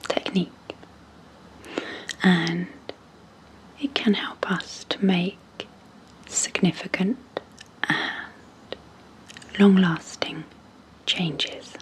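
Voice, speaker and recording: Female ASMR psychologist host: breathy near-whisper, low-pitched, gentle and measured. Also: low-quality recording. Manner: slow, gentle, measured with long pauses. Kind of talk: soft-spoken ASMR hypnosis introduction.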